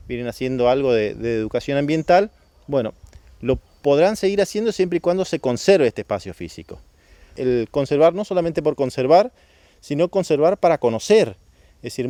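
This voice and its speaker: A man's voice: on the high side, darkish tone, dry recording. A man from Misiones with a northeastern Argentine accent, speaking as a government official in an explanatory, didactic interview style, with small questioning checks. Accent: northeastern Argentine Spanish.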